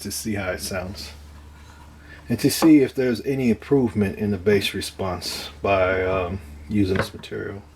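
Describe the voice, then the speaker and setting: A man giving a casual, hands-on demonstration of his own DIY project, talking in a halting, unscripted way as he thinks aloud, with some noise in the recording. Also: on the low side, darkish tone, breathy edge. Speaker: DIY speaker builder presenting own project.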